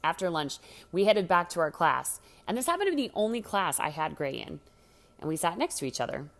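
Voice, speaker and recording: Low-voiced woman reading a story expressively. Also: big pitch swings, brisk, fairly bright tone. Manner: dramatic, acts out the dialogue. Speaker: story reader. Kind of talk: expressive scripted reading of a first-person teen story.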